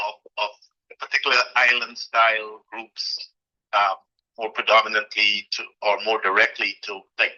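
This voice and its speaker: A middle-aged man from Guyana with a bright, forceful, rather low voice. He talks haltingly, full of "uh" and "um" and restarts. The sound is dull and lo-fi. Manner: hesitant, many fillers and restarts. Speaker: guest, president of a community association. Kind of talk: interview answers.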